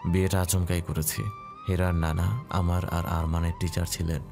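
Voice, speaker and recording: Male actor as dutiful eldest brother in an audio drama, low bass voice, composed and explanatory, music behind. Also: little pitch movement, normal speed. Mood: calm, earnest. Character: calm, dutiful head-of-family elder brother explaining his marriage. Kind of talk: Bengali audio story acting. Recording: music clearly playing behind.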